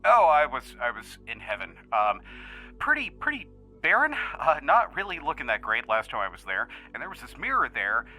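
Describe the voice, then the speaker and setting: A man's voice: mid-range voice, moderate pace, soft background music, exaggerated voice, poor audio. A man playing a brash, jokey magician who rambles casually through his stories in an audio drama. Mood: animated, sheepish. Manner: animated, hesitant rambling storytelling. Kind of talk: conversational audio drama acting.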